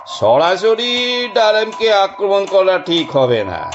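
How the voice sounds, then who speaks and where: Brief: male actor as shrewd, cautious investigator's friend, slow and persuasive, dull audio. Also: high voice, very animated, over music, pitched above the performer's own voice, exaggerated voice. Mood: cautious, plotting. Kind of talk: audio drama dialogue. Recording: dull, band-limited audio.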